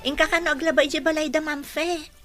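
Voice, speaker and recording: A woman's voice: sits high, fairly even intonation, fast talker, music in the background, lightly acted. A woman playing a dutiful, helpful schoolgirl from a poor family in an audio drama, with an Ilocano accent. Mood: eager. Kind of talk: Ilocano radio-style drama acting.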